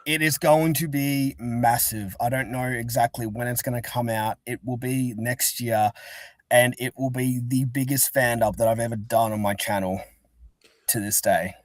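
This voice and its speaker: Man, casual interview guest, chatty, rambling, medium pitch, dry recording. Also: fairly even intonation, normal speed, no echo. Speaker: interview guest, fan-dub creator. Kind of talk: casual chat.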